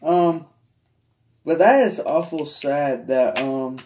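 A man's voice: fairly high pitch, takes it slowly, a little mellow, slight background noise. A man chatting casually to viewers in a rambling, hesitant way, often trailing off, recorded on a handheld device in a bedroom. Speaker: hobbyist vlogger showing a collection. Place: small carpeted bedroom, indoors. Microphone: handheld phone or camera held by the speaker.

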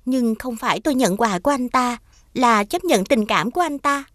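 A woman voicing a modest, well-mannered young shopkeeper with a polite manner in an animated fairy tale. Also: fairly high pitch, moderate pace, dry recording, clean studio sound. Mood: earnest. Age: young adult. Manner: polite, demure.

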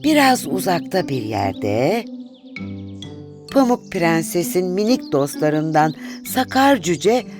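A woman narrating a children's fairy tale in an expressive, deliberate storytelling style. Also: lowish voice. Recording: music behind the voice.

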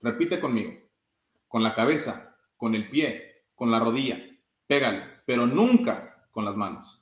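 Young man, mid-pitched, slightly halting storybook reader, roomy webcam sound. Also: expressive. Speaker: soccer goalkeeper reading a children's book. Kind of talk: read-aloud storytime. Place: small room with blinds, indoors.

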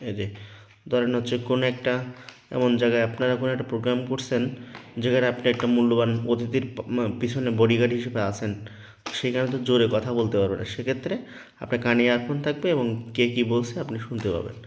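A man's voice: medium pitch, level tone, fast talker. A man with a Bangladeshi accent giving an informal hands-on tutorial, explaining step by step, repeating himself and often checking that listeners follow. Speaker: product demonstrator. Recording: noticeable background noise, small clicks.

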